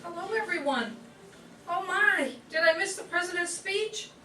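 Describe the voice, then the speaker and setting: A woman's voice: very high voice, animated, normal speed, over loud music. A woman playing a timid, sweet, naive young nurse in an amateur staged radio play. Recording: echoey, distant, faint noise.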